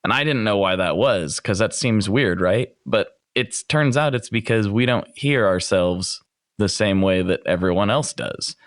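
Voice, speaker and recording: A man's voice: on the low side, measured pace, no echo, studio quality. A man hosting a podcast, chatting in a friendly way with a radio announcer's voice. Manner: chatty, friendly, thinks aloud. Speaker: podcast host. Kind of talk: casual interview.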